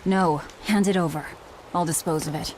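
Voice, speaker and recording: A woman's voice: fairly low pitch, normal speed, slight background noise, natural voice, low-quality recording. A woman playing a cold, hardened young fighter in a video game, with blunt, dry delivery. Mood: stern, curt. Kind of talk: English video game dub acting.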